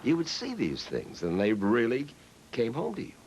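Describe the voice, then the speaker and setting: An older man with an American accent, reminiscing in an interview in an emphatic, measured storytelling way. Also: medium pitch, wildly swooping pitch, a little mellow, slight background noise, poor audio. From Massachusetts, United States. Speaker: interviewee recalling the past. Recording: music playing behind the voice. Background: music.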